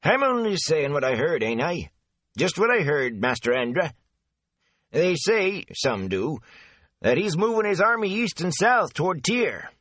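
A man voicing a gossipy, rambling rustic gatekeeper with folksy country speech, high and lively. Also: a little slow, dry recording, exaggerated voice. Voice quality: folksy dialect, rambling and eager.

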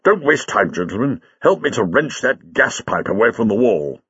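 A man's voice: lowish voice, big pitch swings, normal speed, fairly bright tone, husky, no echo, lo-fi sound, heavily acted. A man voicing a confident male doctor hero in an audiobook, giving orders with breezy authority. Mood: brisk confidence. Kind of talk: dramatic audiobook character voice.

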